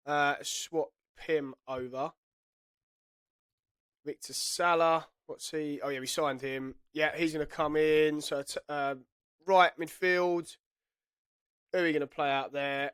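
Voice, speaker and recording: A man's voice: fairly high pitch, fairly bright tone, clear, resonant tone, dry recording. A man with a head cold giving chatty, self-correcting commentary while playing a football management game. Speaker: gaming YouTuber. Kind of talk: casual gameplay commentary.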